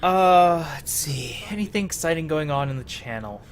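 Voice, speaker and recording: A man giving casual, rambling gameplay commentary in a halting, self-conscious way, with some mild swearing. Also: a little high, expressive. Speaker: host of a gaming video series.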